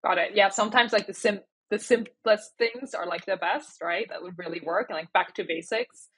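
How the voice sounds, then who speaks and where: A woman's voice: medium pitch, normal speed, a bit bright, slightly rough. A woman hosting a podcast interview in a casual, conversational way, often dropping in "like". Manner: informal, conversational, frequent "like".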